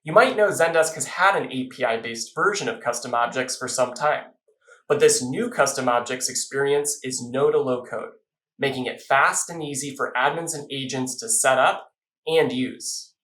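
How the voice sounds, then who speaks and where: A man's voice: medium pitch, normal speed, fairly bright tone, roomy sound, poor audio. A man giving a polished, explanatory corporate product presentation from a script.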